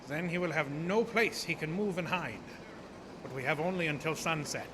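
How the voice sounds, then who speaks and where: An actor playing an earnest, authoritative doctor leading a vampire hunt, deliberate and urgent, in a radio horror drama. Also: male voice, fairly high pitch, long reverb tail, natural voice. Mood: grave, resolute. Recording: noisy live stage recording.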